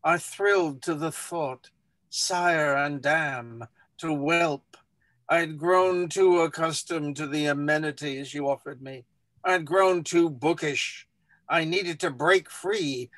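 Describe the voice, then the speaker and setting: A man giving a dramatic, measured reading of a literary text. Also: fairly high pitch, a bit bright, no echo.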